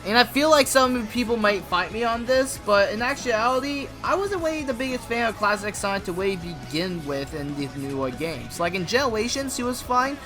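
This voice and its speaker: A young man narrating a casual scripted video game review, with an excitable, informal manner and comic asides. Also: high voice, brisk, hardly any pauses. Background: music.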